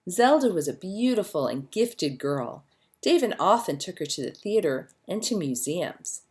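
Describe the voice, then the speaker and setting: A woman reading a children's story aloud as a narrator, with expressive storytelling delivery. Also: on the low side, a little slow. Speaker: storybook reader.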